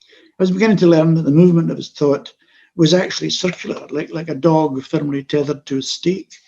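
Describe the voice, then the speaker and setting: Older man, gravelly reading voice. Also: on the high side, fairly even intonation, dark tone, muffled recording. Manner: measured, wry literary reading. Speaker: author. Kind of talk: reading his own memoir prose aloud.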